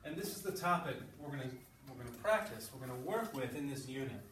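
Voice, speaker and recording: A man's voice: medium pitch, animated, quick pace, a bit bright, recorded from a distance. A man teaching a class as an English teacher, explaining things and checking in often with short questions. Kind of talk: classroom teaching and read-aloud. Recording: echoey classroom, off mic, background noise.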